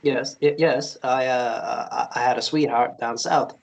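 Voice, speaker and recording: Casting: actor as shy male romantic lead, gentle, flustered and apologetic, halting speech, husky mid-range voice. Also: mid-range voice, steady tone. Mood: shy, embarrassed. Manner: stammering, bashful, halting. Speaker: actor at a table read. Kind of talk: fantasy romance table read.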